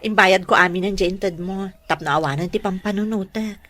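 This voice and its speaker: A woman's voice: medium pitch, a little fast, fairly bright tone, lightly acted. A woman with an Ilocano Filipino accent acting a grateful, earnest young student in a radio drama. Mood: grateful, hopeful. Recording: music bed under voice. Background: background music.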